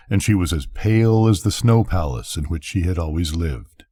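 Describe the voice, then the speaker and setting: An older man narrating a bedtime story, reading gently and expressively. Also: lowish voice.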